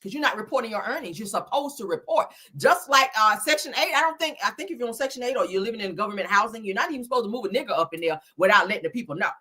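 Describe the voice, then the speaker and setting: A woman giving animated online reaction commentary in a blunt, scolding, emphatic manner, swearing freely and repeating phrases for effect. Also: fairly high pitch, racing, few pauses, slightly rough. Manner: blunt, scolding, heavy profanity. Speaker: YouTuber commentating on celebrity and viral topics.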